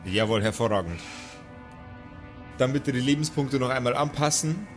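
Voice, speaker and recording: A man's voice: medium pitch, animated, moderate pace. Man narrating as game master over music. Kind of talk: improvised tabletop role-play narration. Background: faint background music.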